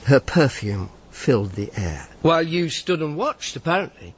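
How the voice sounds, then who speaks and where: A man's voice: on the high side, sing-song, moderate pace, breathy edge. A man playing a world-weary, sardonic Roman informer who narrates and speaks in a radio drama. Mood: wry narration. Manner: dry, sardonic. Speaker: lead actor and narrator.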